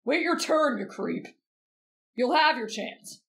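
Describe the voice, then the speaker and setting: A woman's voice: high voice, animated, slow pace. A woman voicing a brash, blunt, rowdy teenage boy fighter in an anime roleplay, cocky and challenging. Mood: annoyed. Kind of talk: anime fan roleplay audio drama.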